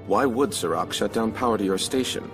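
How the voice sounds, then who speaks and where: A man playing a stoic, logical Vulcan first officer in a sci-fi game, formal, precise and deadpan. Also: lowish voice, fairly quick, close to an everyday voice. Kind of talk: scripted sci-fi game acting. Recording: music loud under the voice.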